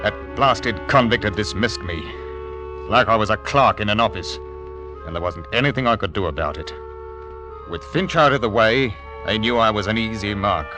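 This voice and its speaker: An actor playing a stern, anxious 1700s ship's captain in old-time radio drama, telling his tale gravely and dramatically. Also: lowish voice, normal speed, lightly acted. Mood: grave, haunted. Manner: dramatic, grave first-person storytelling. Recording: old radio recording with music behind.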